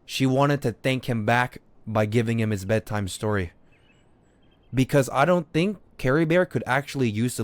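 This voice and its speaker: Male game streamer, medium pitch, dry sound; argumentative, repetitive, sweary, off-the-cuff commentary. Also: no echo. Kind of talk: unscripted gaming stream commentary.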